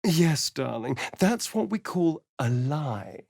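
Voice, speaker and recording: Preening vampire elf for a game, theatrical and sardonic, really swoopy pitch, slow drawl, dry close sound. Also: male voice, sing-song, vocal fry, darkish tone, slightly rough, no echo, exaggerated voice. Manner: arch, theatrical, languid drawl. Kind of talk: video game character dialogue.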